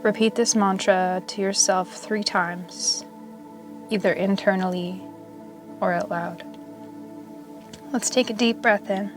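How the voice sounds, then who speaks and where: Even-toned woman guiding meditation over music. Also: mid-range voice. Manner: slow, soothing, measured delivery with long pauses. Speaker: yoga nidra meditation guide. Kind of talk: guided meditation narration.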